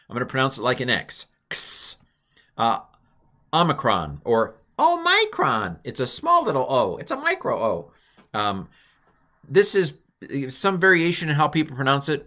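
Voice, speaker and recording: A man's voice: medium pitch, a bit bright. An American man teaching a recorded lesson in a casual, jokey, self-deprecating way, with lots of fillers. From United States. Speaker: Greek teacher.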